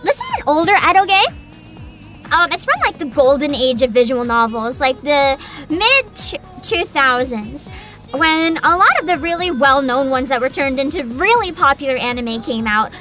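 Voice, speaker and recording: A woman's voice: sits high, expressive, normal speed, music in the background. A woman hosting a livestream, chatting informally to her viewers and giving her opinions, often humming between thoughts. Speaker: livestream host.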